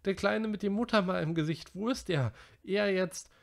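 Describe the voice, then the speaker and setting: A man voicing a chubby, anxious schoolboy who pleads in a high, whiny, breathless way. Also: moderate pace, vocal fry. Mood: frightened.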